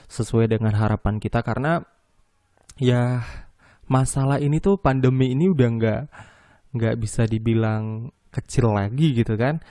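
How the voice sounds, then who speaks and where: A man's voice: medium pitch, dark tone, dry recording, studio quality. A young man from Medan hosting a solo podcast, talking casually and hesitantly, often restarting and apologizing. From Medan, North Sumatra. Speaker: podcast host.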